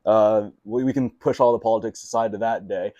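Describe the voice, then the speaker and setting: A young man hosts a casual podcast conversation, talking in a loose, rambling way full of "like" and restarted phrases. His first language was Mandarin, though his speech here is relaxed everyday chat. The sound is close and dry, with no room echo. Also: fairly low pitch, a little fast. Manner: rambling, filler-heavy, many restarts. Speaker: podcast host.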